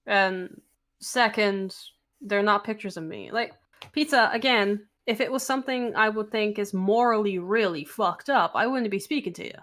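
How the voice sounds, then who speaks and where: A woman's voice: mid-range voice, normal speed, a bit bright. A woman in her thirties in a casual voice chat, blunt and teasing, repeating her point. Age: 30s.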